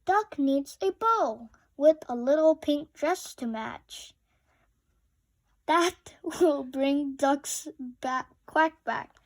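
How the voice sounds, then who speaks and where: A woman's voice: high-pitched, lively intonation, extremely slow, no room sound, exaggerated voice. A woman voicing a bubbly little kitten, eager to help, in a children's storybook.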